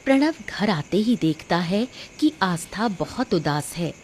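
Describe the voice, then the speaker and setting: A woman's voice: fairly low pitch, animated, measured pace, a little mellow, a little hiss or hum. A woman narrator reading a scripted cartoon story. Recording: music under the voice.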